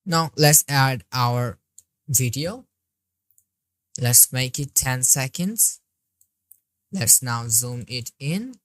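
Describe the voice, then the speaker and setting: Woman instructor with a strikingly low voice, unhurried, talking through each click in a dry, close voice-over. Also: lively intonation, no room sound. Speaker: software tutorial instructor. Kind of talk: screen-recorded tutorial walkthrough.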